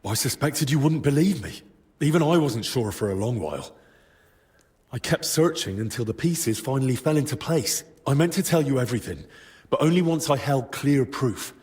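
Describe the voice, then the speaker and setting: A man playing an earnest, determined young man in a medieval video game drama, speaking in a measured, respectful way. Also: medium pitch, expressive, a little mellow, natural voice. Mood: earnest, pleading.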